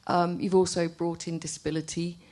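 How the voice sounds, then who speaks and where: A woman artist speaking haltingly and off the cuff at a public discussion, often repeating words. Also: lowish voice, level tone, darkish tone. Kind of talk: spontaneous remarks at a public discussion. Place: arts centre event room. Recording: live event recording.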